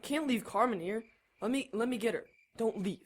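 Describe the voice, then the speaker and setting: A woman's voice: fairly high pitch, normal speed, husky. A woman acting an anxious, earnest, protective teenage brother in an audio drama, hesitant yet urgent. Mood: hesitant, concerned. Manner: hesitant, urgent, literal-minded.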